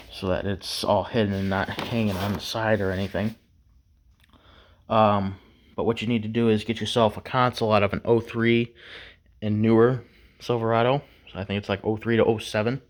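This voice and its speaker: A man's voice: fairly low pitch, level tone, normal speed. A man giving a casual, unscripted hands-on DIY tutorial in a laid-back, folksy way. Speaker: DIY how-to presenter.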